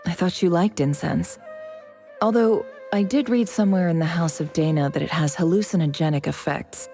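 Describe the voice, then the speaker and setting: An actress playing a cool, calculating young woman in a video game, a scholarly envoy who speaks with measured, polite persuasion. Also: fairly low pitch, warm tone, faint music behind, lightly acted. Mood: casual, evasive.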